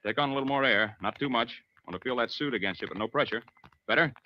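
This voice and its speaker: An actor playing a steady, commanding navy diving officer in an old-time radio drama, giving terse, firm, reassuring orders. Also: male voice, mid-range voice, fast talker, dull audio, close to an everyday voice. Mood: calm, coaching.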